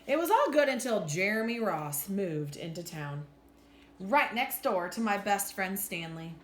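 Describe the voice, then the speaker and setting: A woman reading a children's storybook aloud in an expressive, deliberate storytelling manner. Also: mid-range voice. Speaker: teacher reading a picture book aloud. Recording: roomy echo with faint background noise.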